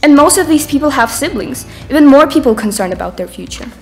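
A woman's voice: high voice, lively intonation, fast. A teenage girl from Ukraine, reading an earnest prepared speech from notes at a lectern on a conference stage. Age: teens. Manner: earnest, reading from notes, rises in intensity near the end. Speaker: student speaker. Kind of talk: prepared speech read aloud. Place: conference stage in a dark hall.

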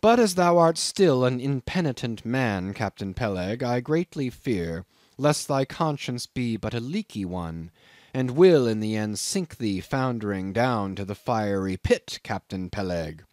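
A man's voice: mid-range voice, expressive, measured pace, darkish tone, no echo, studio quality, close to an everyday voice. A man voicing a stingy, pious old Quaker ship owner in a storybook reading, preaching steadily and solemnly. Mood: stern reproach. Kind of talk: audiobook character acting.

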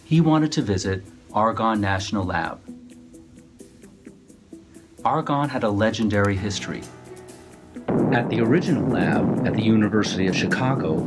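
A man with a fairly low voice tells his story in a measured, scripted narration. Music plays clearly beneath him throughout. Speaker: author narrating his book trailer. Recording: music bed under the voice.

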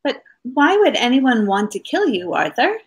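Woman acting lively, arch detective's wife. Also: sits high, normal speed. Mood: curious. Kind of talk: old-time radio mystery acting. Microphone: video call. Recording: faint music behind.

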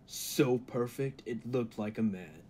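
An actor playing a cold, megalomaniac humanlike robot in an old-time radio drama, speaking deliberately and with grandiose menace. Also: male voice, medium pitch, mellow, a little hiss or hum, close to an everyday voice. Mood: menacing. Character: cold, megalomaniac humanlike male robot bent on world mastery.

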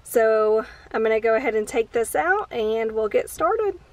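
A woman's voice: fairly high pitch, animated, normal speed, dry recording. An American woman in her thirties narrating a casual how-to vlog from inside a parked open-top vehicle. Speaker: vlogger.

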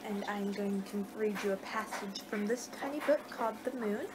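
A woman's voice: medium pitch, normal speed. A young American woman doing a casual ASMR roleplay as a vampire, rambling conversationally on a homemade webcam recording. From United States. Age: young adult. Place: living room indoors at home. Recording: noisy amateur webcam recording.

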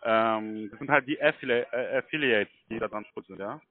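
A man from Germany talking casually on the phone in a halting, repetitive way, often checking he is followed. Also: on the low side. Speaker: call center recruiter. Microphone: telephone. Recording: tinny phone line.

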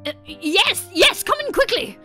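A woman's voice: very high voice, sing-song, unhurried, pushed and bright, faint music behind, pitched above the performer's own voice, exaggerated voice. A woman voicing a kindly, fretful male scientist penguin in a cartoon game story, with polite, old-fashioned manners. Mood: startled.

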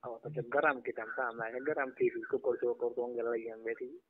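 A man's voice: mid-range voice, flat delivery, normal speed. A man with a Saraiki accent chatting casually and intimately as a caller on a recorded phone call. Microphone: telephone line.

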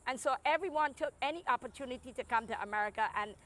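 Earnest Barbadian woman, slightly high voice. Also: fast talker, a bit bright. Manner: earnest, emphatic storytelling with restarts. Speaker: guest. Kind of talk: personal story in an interview. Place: outdoor garden. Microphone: lapel.

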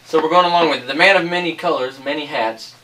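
A man's voice: a little high, fast talker, pushed and bright, distant mic, soft background music, low-quality recording. A man working as an interviewer, putting questions to film cast and crew on camera.

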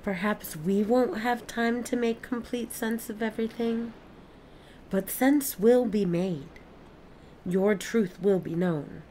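A woman's voice: mid-range voice, slow, mellow, a little hiss or hum, lightly acted, rough recording. A woman in an audio play playing an earnest, methodical alien witness who speaks in formal, precise phrases. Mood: earnest, gentle.

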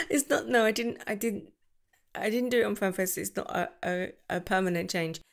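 A young woman doing a girlfriend roleplay to the listener, soft and affectionate, giggling and slipping into whispers. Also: mid-range voice, a little slow, creaky. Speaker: ASMR roleplay creator.

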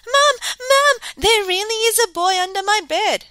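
A woman voicing a frightened, excitable young boy monster in a children's story, squeaky and pleading. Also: unhurried, no echo, exaggerated voice. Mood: panicked.

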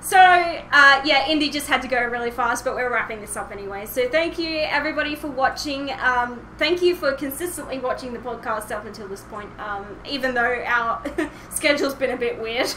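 High, bright young woman in a roomy space. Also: fairly quick, few pauses. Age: young adult. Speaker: podcast host. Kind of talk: casual group chat. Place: home room with shelves and posters, indoors. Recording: roomy, noisy home recording.

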